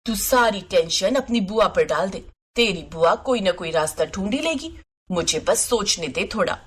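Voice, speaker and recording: Woman doing a clever auntie in a cartoon family tale, reassuring and confiding, middling pitch. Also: lively intonation, normal speed, breathy edge. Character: reassuring, clever aunt of a young bride. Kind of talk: story character voice.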